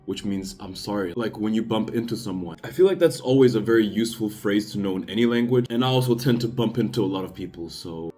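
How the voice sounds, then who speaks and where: A man's voice: fairly low pitch, fast talker, hardly any pauses, mellow. A young man from Denmark with a Danish accent, narrating his travel vlog in a chatty, self-deprecating way with joking asides. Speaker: travel vlogger. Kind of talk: casual voice-over narration. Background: faint background music.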